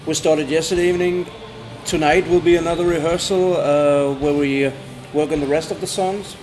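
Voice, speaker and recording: A man's voice: a little high, steady tone, moderate pace, darkish tone, soft background music, rough recording. A man with a German accent, a band's singer, talking in a casual interview with many fillers and restarts. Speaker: band singer.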